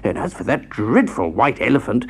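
Shopkeeper's wife for a children's tale, narrator acting her out: scolding, emphatic, very varied pitch. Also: big pitch swings, normal speed, breathy edge. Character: nagging, grumbling shopkeeper's wife.

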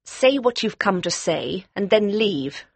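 A husky-voiced Victorian woman performed by an actress speaks intensely. Her pitch moves in lively swings, as in a mystery audiobook drama. The recording is dry and close. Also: measured pace, no echo, exaggerated voice. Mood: cold, aggressive.

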